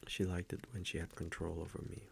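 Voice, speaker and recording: A man playing a gentle, confiding vampire boyfriend in an intimate ASMR roleplay, speaking hushed and hesitant and often slipping into a whisper. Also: low-pitched, flat delivery, quick pace, gravelly, a little hiss or hum. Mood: quietly confiding.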